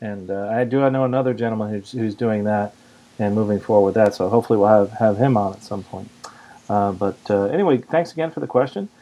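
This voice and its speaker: A man's voice: fairly low pitch, fast, mellow. Male podcast host, slightly muffled audio. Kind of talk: casual panel discussion, answering listener questions.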